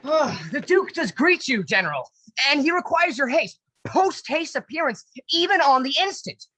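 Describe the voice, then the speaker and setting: Urgent, dutiful lieutenant played by a woman, brisk Shakespearean delivery, high and lively, video-call recording. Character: urgent, dutiful military lieutenant bearing news. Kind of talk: amateur Shakespeare radio play acting.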